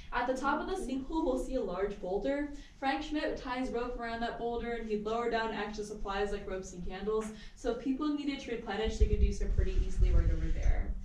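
A woman's voice: medium pitch, a little hiss or hum. Female cave guide, chatty and informative, distant echoey cave sound. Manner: chatty and informative, light laughs. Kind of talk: guided tour talk to a group. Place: inside a large cave. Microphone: camera held by a visitor some distance away.

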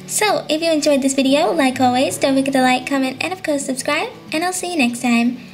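Bubbly high-voiced woman. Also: brisk. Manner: bubbly, chatty, cutesy. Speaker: toy channel host. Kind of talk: casual toy unboxing chat.

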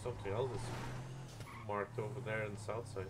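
A man chatting casually in a game roleplay, blunt and quick to swear. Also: on the low side, flat delivery, very noisy. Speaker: streamer and roleplayer.

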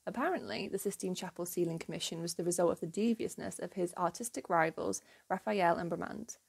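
A woman hosting a history channel, giving a clear, measured scripted narration with an enthusiastic storytelling manner. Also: on the low side.

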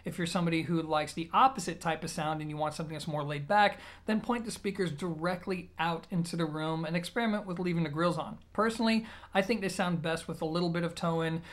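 A man's voice: fairly high pitch, quick pace, hardly any pauses, a bit bright. A man, hosting a review in a casual, methodical, conversational way. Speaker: audio gear reviewer, host. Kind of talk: casual, explanatory product review to camera. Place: small plain-walled room indoors.

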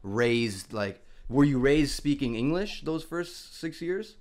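A man's voice: medium pitch, expressive, slow, darkish tone. Young male podcast host, easygoing, slangy, headset on a video call. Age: young adult. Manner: laid-back, peppers talk with dude and bro. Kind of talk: casual interview chat. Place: small room at home.

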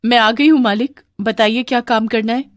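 A woman voicing a poor, tearful village housemaid and mother who pleads humbly in an animated story. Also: fairly high pitch, moderate pace, no echo, studio quality, close to an everyday voice. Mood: humble, pleading.

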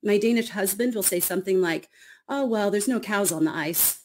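A woman, an author speaking as a guest on a radio interview over a video call, animated and quick to laugh. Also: mid-range voice. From United States. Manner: animated, laughs often, acts out quotes.

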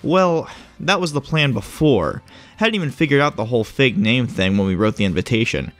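A man's voice: mid-range voice, lively intonation, normal speed, soft background music, natural voice. An actor playing a bored, easygoing male minder with an offhand, rambling manner in a horror audio drama. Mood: flippant. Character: bored, flippant male babysitter-bodyguard, easygoing oddball.

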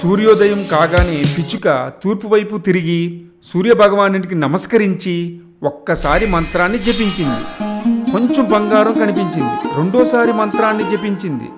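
A man with a high voice tells a scripted moral fable. Music plays clearly beneath his narration. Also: normal speed. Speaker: storyteller.

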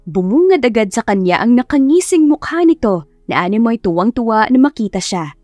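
A woman's voice: a little high, very animated, a little fast, darkish tone, dry recording, muffled recording, faint music behind. A woman narrating a scripted romance audiobook story, close to the microphone.

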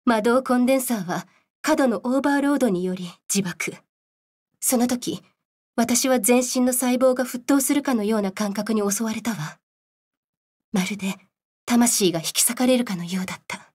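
A woman voicing a solemn female scientist in a game cutscene, telling a grim story in a measured, serious way. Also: fairly high pitch, a little mellow, slightly rough. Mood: grave, bitter. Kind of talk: dramatic game cutscene narration.

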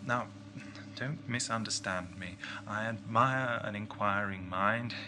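A man's voice: lowish voice, slow. A man playing an earnest alien time traveller who explains things urgently in an amateur audio drama. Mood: placating, urgent. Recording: slightly noisy 1980s home-made audio drama.

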